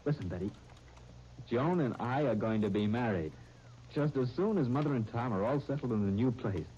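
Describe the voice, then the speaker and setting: A man's voice: mid-range voice, lively intonation, mellow, natural voice. An actor playing an eager, earnest young American man who trips over his words, in a 1930s radio drama. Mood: elated, confiding. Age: young adult. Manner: halting, stammering over words. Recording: muffled, noisy 1939 radio broadcast recording.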